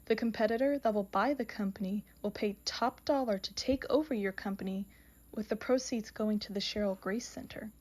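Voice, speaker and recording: A woman playing an all-knowing, solemn female reaper in an audio mystery drama, with measured, oracular delivery. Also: mid-range voice, darkish tone, close to an everyday voice. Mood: solemn, hushed.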